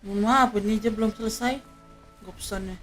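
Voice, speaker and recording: A woman's voice: on the high side, fast, fairly bright tone, soft background music. A woman with an Indonesian accent chatting casually as she streams a game live.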